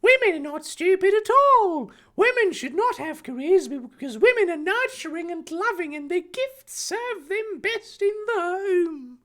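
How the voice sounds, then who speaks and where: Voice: extremely high pitch, expressive, a little slow, hardly any pauses, fairly bright tone, voice pushed up, full character voice. A performer voicing an earnest, pious teenage boy hero in an indignant, declamatory manner.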